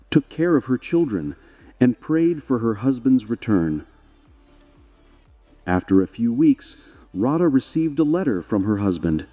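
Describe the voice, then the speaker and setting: A man narrates a scripted moral story. His tone is mellow and his delivery slow, with a lively rise and fall. Long reverb surrounds the voice. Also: mid-range voice. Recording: long reverb, background music. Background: music.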